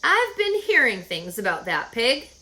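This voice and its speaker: A woman voicing a calm, thoughtful old male country doctor in a storybook, musing and reassuring in an unhurried way. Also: fairly high pitch, full character voice. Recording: echoey room, faint background noise.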